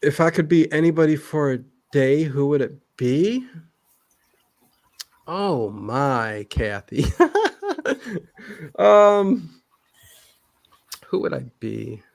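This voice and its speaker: A man's voice: on the high side, lively intonation, takes it slowly, vocal fry, long pauses, no room sound. Man, interview guest, casual chat, hesitant, rambling, repeated words, dry close sound.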